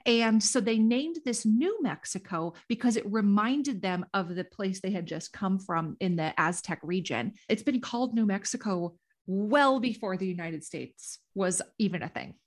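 A woman's voice: mid-range voice, normal speed. Enthusiastic woman host telling a story. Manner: enthusiastic, gushing, frequent affirming murmurs. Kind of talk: casual conversational storytelling.

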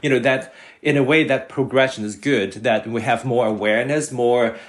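Brief: middle-aged male panelist from Hong Kong, mid-range, halting speech. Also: medium pitch, steady tone. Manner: hesitant, many fillers. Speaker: panel guest. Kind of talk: panel discussion.

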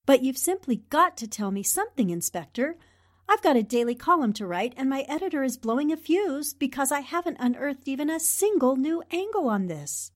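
A woman's voice: sits high, very animated, fairly quick. A woman playing a pushy, spirited young newspaper reporter, insistent and pleading, in 1940s radio drama style. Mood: urgent, pleading.

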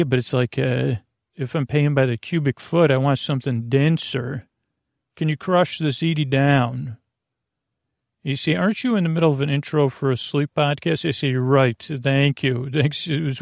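A man with a creaky, gravelly voice hosting a sleep podcast, rambling through an improvised, lulling bedtime monologue full of hesitant digressions. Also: medium pitch, darkish tone, no echo, studio quality. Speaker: podcast host.

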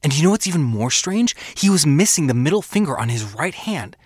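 Guy playing eager young detective, 30s, lively delivery, dry recording, crime drama. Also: fairly high pitch, a little fast, slightly rough, dry recording. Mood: intrigued. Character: keen young male police detective in his thirties. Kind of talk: audio drama acting.

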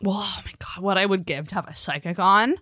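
A woman's voice: medium pitch, brisk, pushed and bright, dry recording, studio quality. A woman co-hosting a podcast, chatting casually and emphatically, with informal wording and some swearing. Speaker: podcast co-host. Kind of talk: casual, opinionated chat.

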